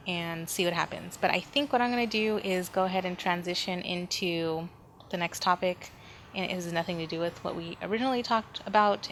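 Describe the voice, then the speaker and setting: A woman's voice: fairly low pitch, fairly bright tone. A woman hosting a solo podcast, talking casually and informally, rambling as she thinks aloud. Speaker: podcast host. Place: indoors at home, near street noise. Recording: background noise, ambient room sound.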